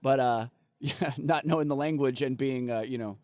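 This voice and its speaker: A man's voice: mid-range voice, moderate pace, a little mellow, dry recording. A man co-hosting a podcast in casual chat, rambling and swearing, laughing often and full of fillers. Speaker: podcast co-host.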